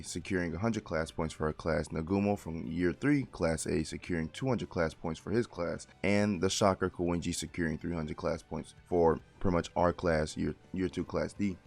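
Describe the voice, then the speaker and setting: Deep gravelly just-woke-up man. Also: a little mellow. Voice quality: gravelly morning voice. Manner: rambling, self-correcting, informal. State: just woke up, voice deeper than usual. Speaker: light novel reviewer. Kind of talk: casual spoken book review.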